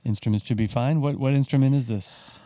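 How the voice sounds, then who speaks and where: A man hosting a radio interview in a mellow, middle-pitched voice. He talks in a relaxed, friendly way and often restarts his phrases. The studio sound is close and dry. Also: no echo. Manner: friendly, conversational, slightly halting. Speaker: radio host.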